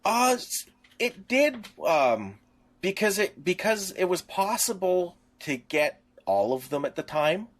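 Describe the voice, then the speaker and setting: A middle-aged man in casual conversation as a podcast co-host, recollecting in a halting, rambling way. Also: sits high, very animated. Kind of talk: casual chat.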